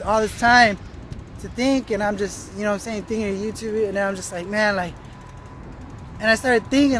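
A man's voice: high-pitched, fairly bright tone. A man in his thirties giving a casual, slangy vlog monologue as he walks, rambling, repeating himself and cutting himself off. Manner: rambling, repetitive, self-interrupting, slangy. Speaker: vlogger. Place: outdoors on a roadside path. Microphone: phone held at arm's length. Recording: outdoor traffic and ambient noise.

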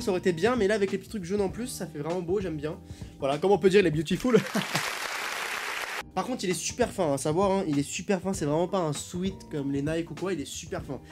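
A young man in his twenties trying on clothes for a vlog, chatty and animated, with quick reactions and self-mocking asides, speaking into a boom-arm studio mic in a small bedroom. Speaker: youtuber. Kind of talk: clothing try-on haul vlog. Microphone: studio mic on boom arm in front.

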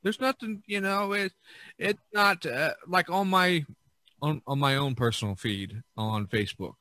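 A man hosting a podcast in a conversational, halting, reflective way. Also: a little high, pushed and bright, dry recording. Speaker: podcast host.

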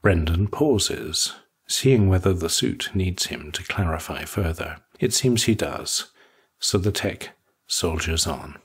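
A man narrating a scripted literary short story in a husky voice. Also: low-pitched, expressive, slow.

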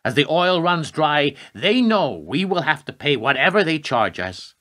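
Ruthless government hawk played by a man, radio drama; emphatic, animated, sharp and fairly high. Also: unhurried, full character voice. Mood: fervent, persuasive. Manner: rhetorical, emphatic speechifying, curt replies. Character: ruthless, forceful male American presidential adviser pushing for war. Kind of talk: radio play acting.

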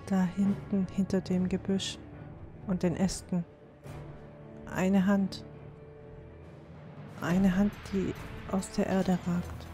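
A woman playing a horrified, frightened young dwarf in a fantasy audio drama, speaking in a halting, trembling way. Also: medium pitch, flat delivery, mellow, faint music behind, lightly acted. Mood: horrified, shaken. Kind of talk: fan-made fantasy audio drama acting.

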